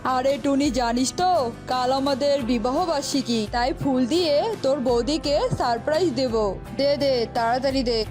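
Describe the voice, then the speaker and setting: A woman's voice: sits high, unhurried, music in the background. A woman voicing a cheerful married male bird customer in a cartoon, breezy and familiar. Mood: cheerful, hurried.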